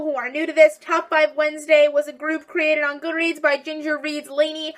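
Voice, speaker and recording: Teenage girl, book vlog host, chatty and bubbly, slightly hoarse, high and bright. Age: teens. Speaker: book vlogger. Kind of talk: casual vlog list.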